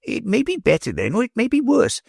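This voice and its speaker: A woman's voice: on the high side, huge pitch swings, a little fast, creaky, darkish tone, breathy edge, no room sound, clean studio sound, pitched above the performer's own voice, full character voice. A woman reading an audiobook, voicing a fussy, self-important court physician. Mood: fretful. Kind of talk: audiobook character voice.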